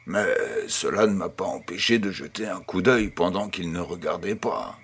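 Man acting a gentle grandfather, low husky voice, slow measured delivery, audio storybook narration. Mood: storytelling, wondering. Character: kindly old grandfather telling a bedtime tale.

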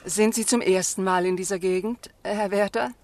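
An actress playing a good-natured, fussing old pastor's wife in a German radio drama, homely and gently scolding. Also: female voice, mid-range voice, steady tone, normal speed, no room sound, lightly acted. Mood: welcoming, chatty. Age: older.

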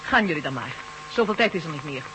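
A woman playing a resolute woman in a radio play, speaking quietly and firmly. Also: sits low, animated, fast, faint music behind, rough recording. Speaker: Val, a woman staying behind. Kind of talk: 1980s radio play acting.

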